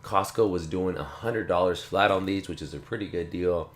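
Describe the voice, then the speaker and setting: A relaxed man with a slightly low voice rambles through a casual tech unboxing, circling back and repeating himself. He has a slight cough. The sound comes from an echoey room. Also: moderate pace. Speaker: tech channel host. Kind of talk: casual unboxing talk to camera.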